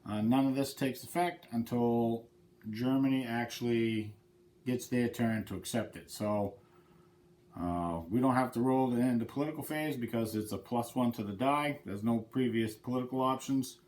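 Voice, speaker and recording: A man's voice: mid-range voice, moderate pace, darkish tone, slight background noise. A man with a head cold hosting his channel, talking casually through a board game playthrough and explaining the rules step by step. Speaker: channel host.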